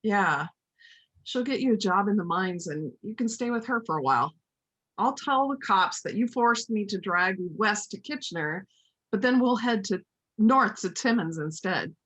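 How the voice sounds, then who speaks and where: A woman playing an earnest, determined helper of a fugitive in a reader's theater play, speaking in an insistent, deliberate way. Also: medium pitch, animated, fairly bright tone. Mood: reassuring, resolute. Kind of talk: reader's theater stage acting.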